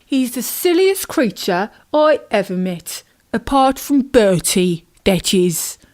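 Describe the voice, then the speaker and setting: A woman's voice: fairly high pitch, big pitch swings, slow pace, darkish tone. A grumpy male carp from a bedtime story, read by a woman. He is rude and taunting, with scorn in his delivery. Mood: scornful.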